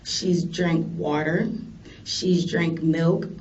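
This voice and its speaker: A woman's voice: low-pitched, flat delivery, drawn-out words, a little mellow. An American woman answering police questions as a suspect, defensive and firm in her denials. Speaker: suspect being questioned, a mother. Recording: distant, muffled, noisy interview room recording.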